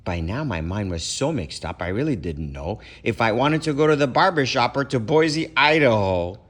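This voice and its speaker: A man's voice: medium pitch, lively intonation, moderate pace, fairly bright tone, husky. A man reading a children's storybook aloud expressively, as a parent would for kids.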